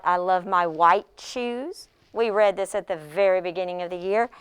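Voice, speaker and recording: A woman who teaches pre-K, demonstrating an interactive read-aloud in an expressive manner, as if speaking to young children. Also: mid-range voice, clean tone.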